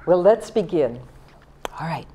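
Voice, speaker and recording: An older woman reading a story aloud to young children as a grandmother, in a theatrical, expressive way with deliberate phrasing and shifts between hush and emphasis. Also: low-pitched, husky, highs cut off. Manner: theatrical, dropping to whispers and swelling for emphasis. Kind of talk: expressive storytelling of a Christmas poem to small children.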